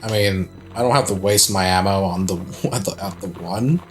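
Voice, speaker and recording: A man's voice: low voice, normal speed, faint music behind. A young adult man casually commenting on a game as he plays, joking, swearing freely and laughing at himself. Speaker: let's play host.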